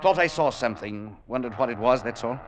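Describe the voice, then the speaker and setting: An actor playing a detached, cold professor in an old-time radio drama, speaking in a dry, clipped way. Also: male voice, mid-range voice, brisk, slight background noise, lightly acted. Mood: uneasy. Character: cold aloof older male professor, nervous.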